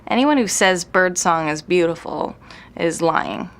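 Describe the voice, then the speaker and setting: A woman's voice: on the low side, animated, a little slow. A woman playing a jaded, self-deprecating night-owl composer in an audio drama, rambling bluntly with sardonic, slangy swearing. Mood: opinionated, self-deprecating. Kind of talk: naturalistic audio drama interview acting. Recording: noisy room recording.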